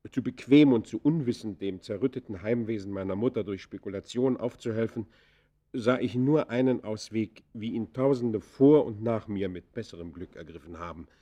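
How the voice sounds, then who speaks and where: An actor playing an embittered outcast poacher in a German radio play, confessing in formal, declamatory phrases with dramatic swells and hushed falls. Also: male voice, lowish voice, normal speed, close to an everyday voice. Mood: agitated confession. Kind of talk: German radio play acting, literary monologue.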